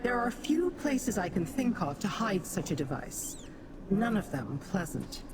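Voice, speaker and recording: An actress playing a haughty, calculating Daedra who speaks down to mortals. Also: female voice, mid-range voice, sing-song, unhurried. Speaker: game character, scheming ally. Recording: game audio with background noise.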